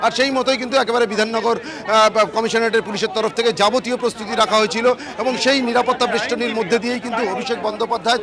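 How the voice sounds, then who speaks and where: High-voiced, fast-talking male field reporter. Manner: wordy, emphatic, repetitive phrasing. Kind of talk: live on-location news report. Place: outdoors in a city, outside a courthouse.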